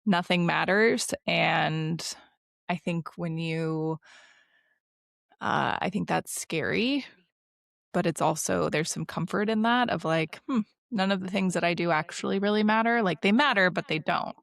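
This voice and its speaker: Gravelly deadpan woman. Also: on the low side, unhurried, no room sound. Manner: dry, deadpan quips. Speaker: podcast co-host. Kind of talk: casual chat.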